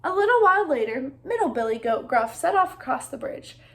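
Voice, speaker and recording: A woman's voice: high voice, sing-song, brisk, a bit bright. A woman reading a storybook aloud to children in an interactive narrating style.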